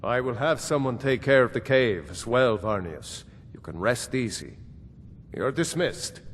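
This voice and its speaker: An actor playing a patient, dry, middle-aged court steward in a fantasy game, measured and deferential. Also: male voice, lively intonation, natural voice. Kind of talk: fantasy game character acting. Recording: reverberant hall, faint rumbling noise.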